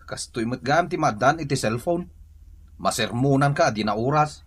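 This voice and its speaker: A man's voice: medium pitch, animated, moderate pace, heavy background noise, close to an everyday voice. A man acting in a comedic radio drama as a bumbling, reluctant husband who sounds confused and grumbling. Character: bumbling, reluctant husband baffled by his new cellphone.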